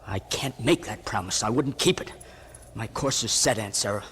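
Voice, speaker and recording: A man's voice: fairly low pitch, normal speed, a bit bright, a little hiss or hum, close to an everyday voice. A man acting a bitter, defiant young rebel nephew in an old-time radio drama, hard and resolute. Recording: vintage radio, long hall reverb.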